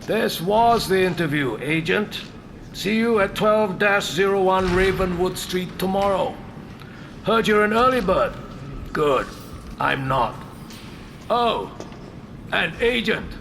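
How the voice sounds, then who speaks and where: A man's voice: high voice, animated, slow pace, creaky, long pauses, noisy background, full character voice. An actor playing a jaded, sardonic middle-aged male senior detective in an audio drama, curt and dry. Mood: dry, dismissive.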